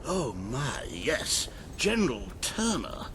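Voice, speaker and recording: An actor playing a fussy, formal royal advisor in a video game, speaking in a pompous, wordy manner. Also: male voice, mid-range voice, sing-song, slow, background noise. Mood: grave, recounting. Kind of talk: video game cutscene acting.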